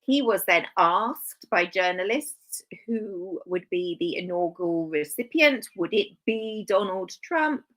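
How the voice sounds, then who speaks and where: A woman's voice: medium pitch, animated, slow, hardly any pauses, fairly bright tone. Sarcastic woman hosting a live stream. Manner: sarcastic, dry asides; rambling, self-correcting. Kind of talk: casual live-stream commentary.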